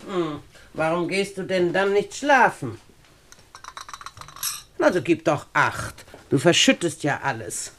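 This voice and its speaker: An actress playing a wealthy, condescending older aunt in a German radio play, speaking standard German in a deliberate, haughty manner. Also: female voice, fairly low pitch, lively intonation, a bit bright, a little hiss or hum. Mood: condescending, bossy. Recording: studio radio drama recording.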